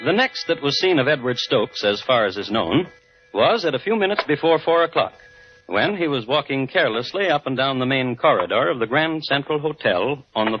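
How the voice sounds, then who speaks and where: An actor playing a formal, deadpan crime historian who narrates a 1950s radio show. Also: male voice, mid-range voice, a little hiss or hum, natural voice. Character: dry, measured male crime historian hosting grim tales. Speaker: host and narrator. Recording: dull vintage 1950s radio recording.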